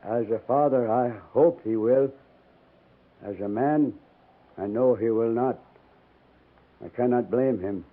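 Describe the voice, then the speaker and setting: Tinny old-radio dying old chief. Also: male voice, mid-range voice. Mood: solemn, affectionate. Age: older. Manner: solemn, measured, formal poetic phrasing. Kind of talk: 1950s radio drama acting. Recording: narrow tinny 1950s radio recording.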